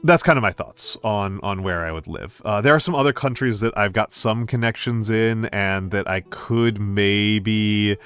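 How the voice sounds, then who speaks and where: A middle-aged American man chatting casually with stream viewers, pausing often with fillers and restarts. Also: on the low side, fairly bright tone, clear, resonant tone. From United States. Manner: hesitant, many fillers and restarts. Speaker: VTuber streamer.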